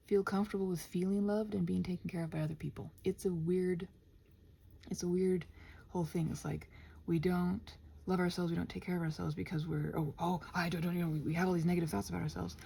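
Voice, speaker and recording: A woman in a casual, improvised stream-of-consciousness ramble, halting and interrupting herself, often slipping toward a half-whisper, with a gentle encouraging manner. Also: lowish voice, steady tone, creaky, breathy edge. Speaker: host of an improvised ramble audio for body doubling.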